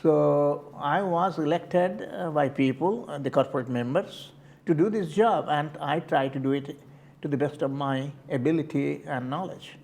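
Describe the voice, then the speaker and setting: An older man with an Indian accent talks through his answers slowly, pausing often on fillers and restarting his sentences. His voice sits a little high for a man. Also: gravelly, darkish tone. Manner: hesitant, many fillers and restarts. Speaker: community board member. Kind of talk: interview answers.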